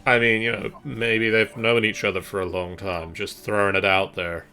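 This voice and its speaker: A man with a fairly low, bright voice comments on a game stream. He is wry and teasing, dropping casual jokes. Soft game music runs behind him. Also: flat delivery, moderate pace.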